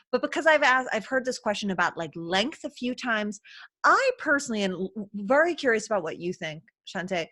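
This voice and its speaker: A woman's voice: medium pitch, a bit bright. A woman in her thirties hosting a casual live-stream chat, talking loosely with many fillers and laughs. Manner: chatty, laughing, lots of fillers. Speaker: host.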